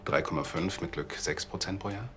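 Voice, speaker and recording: An actor playing an earnest, assured banker with a formal manner in a TV crime comedy. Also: male voice, deep voice, a little fast, fairly bright tone, soft background music. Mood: defensive, assured. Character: earnest, assured male banker and church choir treasurer.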